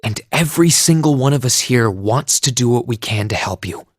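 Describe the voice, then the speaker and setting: An actor playing an earnest, kind teenage hero in an anime game dub, sincere and considerate in manner. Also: male voice, mid-range voice, expressive, normal speed, no room sound, studio quality, soft background music, close to an everyday voice. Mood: reassuring.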